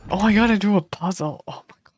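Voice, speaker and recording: A woman's voice: medium pitch, fast talker, a little mellow. A woman game streamer giving casual live reactions, with slangy, joking asides. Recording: game music clearly behind. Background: game music.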